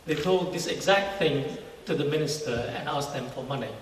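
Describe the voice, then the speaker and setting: A middle-aged man from Singapore explaining his work as an interview guest in a church, conversational, with halting phrases. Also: on the high side. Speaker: interview guest, university research scientist. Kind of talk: informal testimony interview. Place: large church hall, indoors. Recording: very echoey church hall, distant mic, faint noise.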